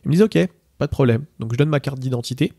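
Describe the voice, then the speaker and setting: A man telling long personal stories in a relaxed, casual way, with many fillers and restarts. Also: medium pitch, very fast, breathy edge, no echo, studio quality. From Portugal. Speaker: interviewee, PSG ultra supporter. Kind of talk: casual spoken storytelling.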